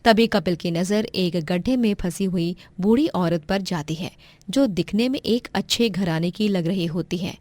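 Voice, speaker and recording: A woman's voice: lowish voice, moderate pace, a little mellow, no room sound, studio quality. A woman narrating a scripted moral story as a storyteller.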